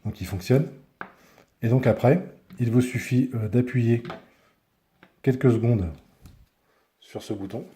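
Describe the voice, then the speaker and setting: A man's voice: medium pitch, takes it slowly, pauses often, warm tone, a little hiss or hum. A man giving a casual hands-on product review, speaking informally and often searching for his words.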